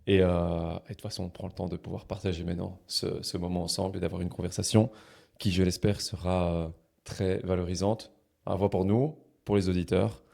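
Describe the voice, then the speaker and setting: Deep, gravelly-voiced podcast host. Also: male voice, level tone, brisk, mellow. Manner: hesitant, repeats and restarts phrases. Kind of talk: casual interview chat.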